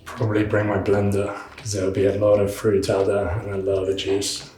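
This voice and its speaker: A man's voice: fairly low pitch, completely flat delivery, moderate pace, a little mellow. A man in his twenties from London, talking in an easygoing way. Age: 20s. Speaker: interviewee. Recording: roomy echo, faint music.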